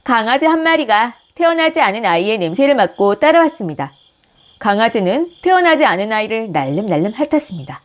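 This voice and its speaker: A woman host reading a picture book aloud with gentle, expressive storytelling. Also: a little high. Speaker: storybook reader, channel host.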